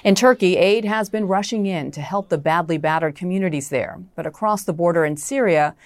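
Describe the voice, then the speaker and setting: A middle-aged woman anchoring a news program, her voice sitting a little low. She reads scripted lead-ins and asks guests questions in a measured, even way. The sound is dry and close. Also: no echo. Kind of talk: news interview hosting.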